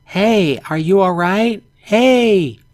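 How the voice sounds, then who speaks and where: A man's voice: high voice, drawn-out words, darkish tone, no echo, studio quality, voice pushed up. A man reading a visual novel aloud, voicing a frantic young girl in a raised, strained calling voice. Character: frantic young girl, bratty but caring.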